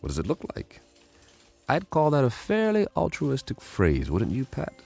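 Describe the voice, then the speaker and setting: A man's voice: mid-range voice, huge pitch swings, normal speed, gravelly, a little mellow, slightly rough, exaggerated voice. A man playing a wry, optimistic government codebreaker who also narrates a radio drama, with a folksy, persuasive manner. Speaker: lead actor and first-person narrator. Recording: music underneath.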